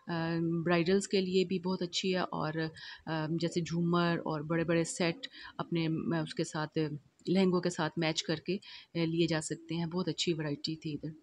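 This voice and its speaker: A woman's voice: on the low side, flat delivery, normal speed. A middle-aged woman from Pakistan narrating her shopping vlog as a voice-over, in a chatty, homely way with many fillers. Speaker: vlogger.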